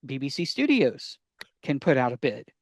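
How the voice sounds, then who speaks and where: A man's voice: medium pitch, big pitch swings, moderate pace, no room sound, clean studio sound. A man co-hosting a casual podcast, explaining things emphatically and often posing questions that he answers himself. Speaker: podcast co-host.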